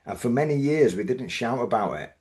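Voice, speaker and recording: A man with a Yorkshire accent, a business owner talking as a podcast guest, thoughtful and measured, often restarting his sentences. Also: mid-range voice, animated, a little mellow. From Yorkshire, England. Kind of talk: reflective podcast interview.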